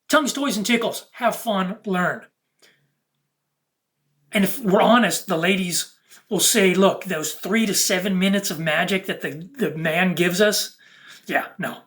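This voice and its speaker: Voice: medium pitch, a bit bright. A speaker delivering an unscripted, preachy monologue to viewers in emphatic, broken-up phrases. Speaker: content creator.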